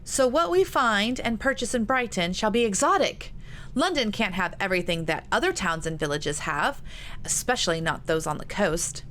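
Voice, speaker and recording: A woman's voice: medium pitch, animated, moderate pace, a bit bright, slight background noise, natural voice. A woman voicing a spoiled, sulky young lady in an audiobook, dramatic and indignant in manner. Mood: triumphant boasting. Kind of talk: audiobook character dialogue.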